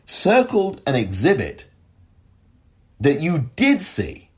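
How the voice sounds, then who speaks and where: A middle-aged man delivers a solo podcast commentary in a husky, slightly strained voice that cracks from excitement. He talks slowly and deliberately, swinging widely in pitch and jumping high whenever he turns sarcastic or indignant. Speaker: podcast host.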